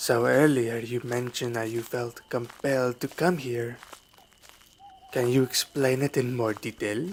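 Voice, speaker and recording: A man playing a suave, sinister vampire in an ASMR roleplay, speaking formally and courteously with quiet menace. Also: mid-range voice, fairly even intonation, a little slow, slight background noise, natural voice. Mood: courteous, sly. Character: suave, sinister male vampire luring a traveler. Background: night forest ambience.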